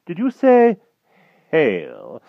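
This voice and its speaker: A man voicing a flustered, excitable, old-fashioned gentleman king in a storybook, politely exclaiming with posh verbal tics. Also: mid-range voice, measured pace, no room sound, phone-quality sound, pitched above the performer's own voice. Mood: nervous.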